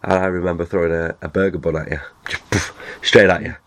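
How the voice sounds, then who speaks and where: A man with a very deep voice hosts a casual podcast. His voice is nasal from a cold. He rambles and restarts his sentences. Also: little pitch movement, fast. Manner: rambling, chatty, many restarts. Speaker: podcast host. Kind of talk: casual chat.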